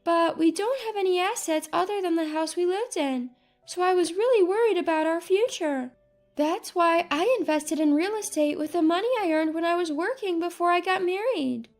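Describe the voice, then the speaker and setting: Woman voicing a modest older mother, high-pitched. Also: normal speed, soft background music. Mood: earnest, apologetic. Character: modest, practical older mother in a family drama.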